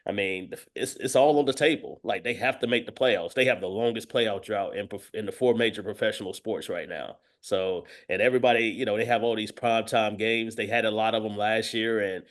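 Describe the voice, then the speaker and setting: A man's voice: on the low side, brisk, hardly any pauses. A man talking as a guest sports analyst, giving conversational football commentary sprinkled with verbal tics like "you know".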